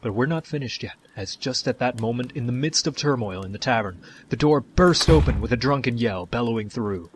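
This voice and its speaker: A man's voice: medium pitch, expressive, brisk, hardly any pauses, slight background noise. A man delivering scripted fantasy narration as the storyteller of an audio tale. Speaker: narrator, game-style storyteller.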